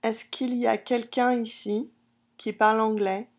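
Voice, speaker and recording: A woman teaching a language lesson, saying each short phrase slowly and carefully, then repeating it several times for the listener. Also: a little high, little pitch movement. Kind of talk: phrase-drill lesson narration.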